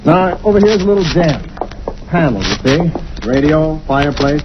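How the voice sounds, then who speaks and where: A man's voice: fairly high pitch, big pitch swings, normal speed. An actor playing a chatty, folksy male real estate agent, in 1940s radio acting style, on a dull old recording with hiss. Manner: chatty, folksy salesman patter.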